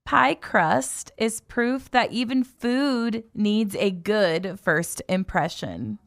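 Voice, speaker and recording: A woman's voice: mid-range voice, lively intonation, slow, gravelly. A woman hosting morning radio on a music station, presenting live in a casual, chatty and giggly way. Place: local radio studio.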